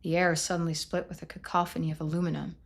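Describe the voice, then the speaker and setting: A woman's voice: lowish voice, level tone, rapid-fire. Woman streamer narrating a story game. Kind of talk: casual gameplay commentary and story narration.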